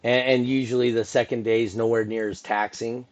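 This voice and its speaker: A man's voice: medium pitch, level tone, a little fast. A middle-aged man with a mid-range voice talks through a casual podcast chat, circling back on his words and tossing in "you know" constantly. The audio is a little muffled and dull. He sounds like a seasoned announcer talking off the cuff. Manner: rambling, full of restarts and "you know". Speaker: podcast host and event announcer.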